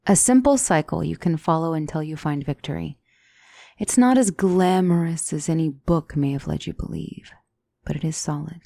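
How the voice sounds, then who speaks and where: A woman playing a gentle demonic tutor in a scripted ASMR roleplay, speaking softly and deliberately as a calm battle mentor. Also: deep voice, vocal fry, no room sound. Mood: calm, instructive. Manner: measured, deliberate, soft-spoken, instructive.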